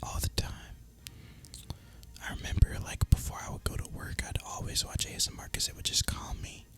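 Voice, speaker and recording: A man speaking in a breathy, unvoiced whisper, rambling casually and in a relaxed way as he hosts an ASMR gaming video. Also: a little fast.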